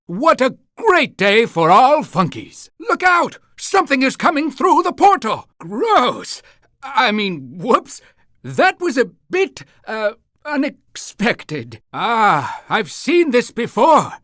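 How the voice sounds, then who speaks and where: A man's voice: extremely high pitch, huge pitch swings, slow, bright, forceful tone, no echo, studio quality, full character voice. A man voicing an eager, polite and fussy town-guide creature in a cartoon game, with theatrical announcing and awkward hesitations. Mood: proud, celebratory, then alarmed.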